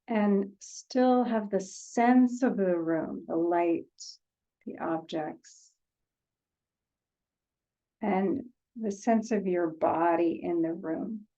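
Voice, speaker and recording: A woman guides a meditation at a very slow pace, with long pauses between short phrases. Her voice is relaxed and mellow, and her manner is gentle. The recording sounds slightly dull and muffled. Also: mid-range voice.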